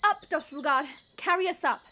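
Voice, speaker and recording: High-voiced, regal princess played by a woman. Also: normal speed, pushed and bright. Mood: smug, commanding. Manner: formal, commanding. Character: proud young dragon princess, regal, resolute, haughty. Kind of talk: fantasy audio drama acting.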